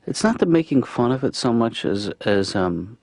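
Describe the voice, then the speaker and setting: A wry middle-aged dad in a cartoon, dry understated jokes, close dry sound. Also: male voice, medium pitch, animated, normal speed, a little mellow, dry recording. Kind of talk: animated sitcom dialogue.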